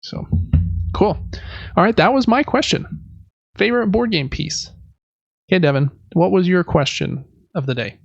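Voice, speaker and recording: A man's voice: high-pitched, animated, normal speed, creaky, long pauses, dull audio. A man chatting casually as a podcast host. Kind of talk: casual chat about video games.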